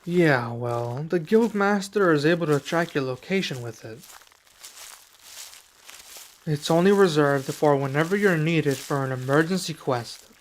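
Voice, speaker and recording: A young man playing a serious, guarded dragon adventurer in an ASMR roleplay, speaking softly and slowly with pauses. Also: a little high, a little hiss or hum, natural voice. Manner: soft-spoken, measured, with pauses.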